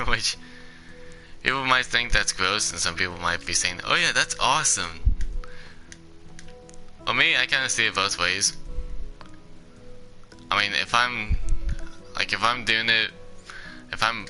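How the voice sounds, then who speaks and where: A man's voice: on the low side, measured pace, pauses often, pushed and bright, faint music behind. A young man giving casual, rambling commentary while playing a game, leaning on "basically" and laughing often. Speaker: gaming YouTuber.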